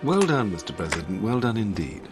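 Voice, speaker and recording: An actor playing a suave, unflappable British intelligence agent in a video game, with a British accent and a polite, genteel, theatrically understated manner. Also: male voice, fairly low pitch, big pitch swings, fairly quick, loud music behind the voice. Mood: smooth, courteous. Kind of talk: video game cutscene voice acting.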